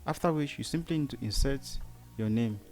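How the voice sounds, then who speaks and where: A man's voice: mid-range voice, fairly quick, a little mellow, soft background music. A man with a Cameroonian accent hosts a step-by-step tutorial, often asking a short question and answering it himself. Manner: asks rhetorical questions and answers them. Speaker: tutorial host. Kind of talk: step-by-step screen tutorial narration.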